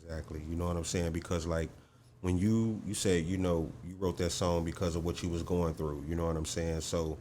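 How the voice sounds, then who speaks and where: A man hosting a casual interview, relaxed and easygoing, backing up his guest with "exactly" and "okay". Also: low voice, fairly quick, slight background noise. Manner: laid-back, echoes answers with "exactly" and "okay". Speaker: interview host.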